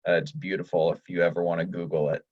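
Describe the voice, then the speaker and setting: A young man introduces himself in an easygoing way. His voice is very deep, and the sound comes through dull and muffled. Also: level tone, fast talker. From Idaho. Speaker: medical student. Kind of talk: casual self-introduction. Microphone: video call.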